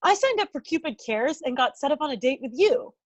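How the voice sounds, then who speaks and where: A woman's voice: high-pitched, big pitch swings, brisk, no room sound. A woman in a sitcom table read playing a perky, sincere, chatty and eager matchmaker. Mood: chatty, hopeful. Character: Maggie, perky, sincere female rival matchmaker.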